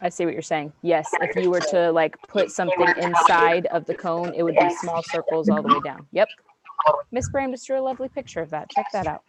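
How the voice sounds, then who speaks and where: A woman's voice: lowish voice, fairly quick, a crowd in the background, low-quality recording. A woman teaching an online math class, counting through problems step by step. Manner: patient, step-by-step counting aloud. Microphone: video call.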